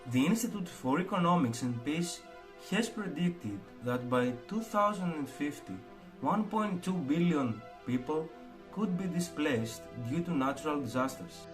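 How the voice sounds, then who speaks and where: A man's voice: fairly high pitch, expressive, a little slow, poor audio. A man, reading a prepared statement formally. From Greece. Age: 20s. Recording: clear music bed underneath.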